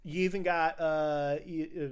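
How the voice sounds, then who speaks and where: A man's voice: fairly high pitch, fairly even intonation, unhurried, clean tone. A man hosting a casual film podcast, talking in an informal, explanatory way. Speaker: podcast host.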